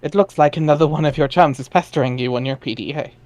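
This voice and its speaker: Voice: mid-range voice, quick pace, darkish tone, dry recording. An informal, chatty speaker talking casually and narrating for an amateur dramatic reading.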